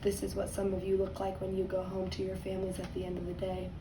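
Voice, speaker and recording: A woman teacher reading a picture book aloud to young children, expressively and deliberately, stressing words and adding conversational asides. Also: mid-range voice, completely flat delivery. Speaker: second grade teacher. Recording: roomy echo, distant mic, faint noise.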